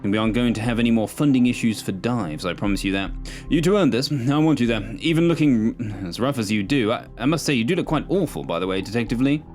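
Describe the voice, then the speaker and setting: A man voicing a forceful, ambitious police captain with a commanding, brisk manner, in an audiobook. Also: medium pitch, expressive, faint music behind, lightly acted.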